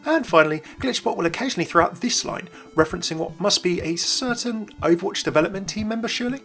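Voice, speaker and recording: A man's voice: high-pitched, lively intonation, fast, vocal fry, fairly bright tone, soft background music. A young man hosting a gaming video with scripted narration. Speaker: YouTube gaming host.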